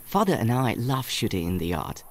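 An earnest boy hero of a storybook adventure game, played by a man, speaks in measured, scripted observations. His voice sits at an ordinary male pitch, with faint ambient noise behind it. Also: sing-song, lightly acted. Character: young boy Lars, earnest, protective older brother. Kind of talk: scripted game character narration.

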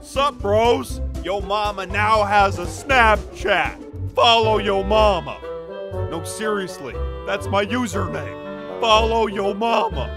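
Male actor as brash cartoon dude, high pitch, slow drawn-out delivery, exasperated, shouty, comedy host. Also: over music. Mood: cocky. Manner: loud, exasperated, bursts into shouting. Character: brash, hot-headed young bro who hosts insult-joke cartoons. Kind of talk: animated comedy hosting.

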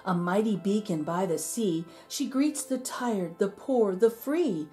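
A woman reading a rhyming children's picture book aloud in an expressive, measured storytelling manner. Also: medium pitch, soft background music. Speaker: storybook reader.

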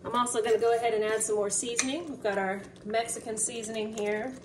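A young woman casually narrating a home cooking video in a relaxed, chatty way, with fillers. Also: a little high, fast talker. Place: home kitchen. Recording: distant mic, noticeable room noise.

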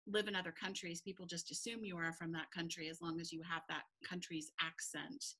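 A middle-aged woman co-hosting a relaxed chat over a shared webcam at home. Her voice is bright and forward, and she laughs often and talks with lively energy. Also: lowish voice, fairly quick. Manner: giggly, animated. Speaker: podcast co-host. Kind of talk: casual video-call chat. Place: home room, indoors. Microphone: video call, shared webcam.

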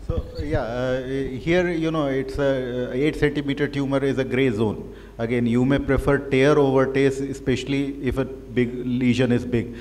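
A man's voice: mid-range voice, fairly even intonation, moderate pace, darkish tone, long reverb tail. A man with an Indian English accent explaining a procedure on a medical panel, with some fillers. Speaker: panelist radiologist. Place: conference hall.

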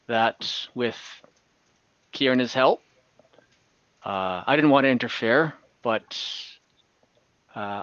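A man acts out a rueful older male elf in a tabletop roleplaying game. He talks slowly and haltingly, earnest as he confesses his past. The sound is close and dry, but a little dull, like an online call. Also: mid-range voice, a bit bright, no room sound. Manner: hesitant, halting, earnest storytelling. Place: indoors on a group video call.

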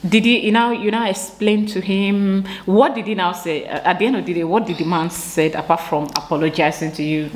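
A woman's voice: lowish voice, fairly even intonation, a little fast, hardly any pauses, echoey room. A young woman, hosting a casual indoor interview and asking one question after another. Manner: curious, prompting with many questions. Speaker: host. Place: indoor room. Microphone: studio mic on stand.

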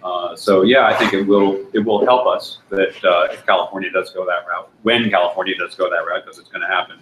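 An older man, a candidate, answering questions at a panel discussion in a restaurant room, pausing and restarting often. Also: sits low, fairly even intonation, fairly bright tone. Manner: halting, many restarts and fillers. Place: restaurant room with brick walls. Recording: echoey room, faint noise.

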